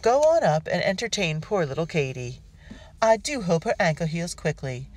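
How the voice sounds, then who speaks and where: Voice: mid-range voice, big pitch swings, moderate pace, pitched above the performer's own voice, lightly acted, rough recording. A storyteller voicing a fussy, anxious, very polite colonial mother in an audiobook, with a proper, exclamatory manner. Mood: nervously polite.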